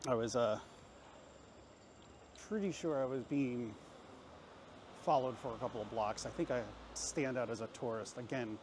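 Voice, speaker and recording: Male travel vlogger, mid-pitched voice, self-mocking walk-and-talk, recorded outdoors with clear traffic noise. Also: moderate pace, long pauses, darkish tone. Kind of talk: casual walk-and-talk narration to camera. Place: busy city street, outdoors. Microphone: handheld camera while walking.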